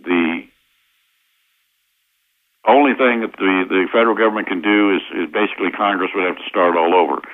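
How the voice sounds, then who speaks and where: An American man giving halting, opinionated explanations as an interview guest over the telephone. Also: sits low. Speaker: interview guest, constitutional lawyer. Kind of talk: interview answers, explanatory political talk. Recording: tinny narrow-band phone line.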